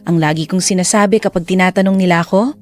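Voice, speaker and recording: An actress playing a wounded, reflective lover, narrating a breakup in a measured, sorrowful way for a radio drama. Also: female voice, fairly low pitch, fairly even intonation, a little mellow, faint music behind, close to an everyday voice. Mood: resigned.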